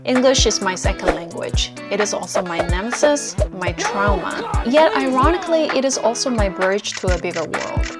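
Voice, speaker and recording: Korean woman, lively reflective narration. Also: medium pitch, moderate pace, few pauses, loud music behind the voice. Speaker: vlogger.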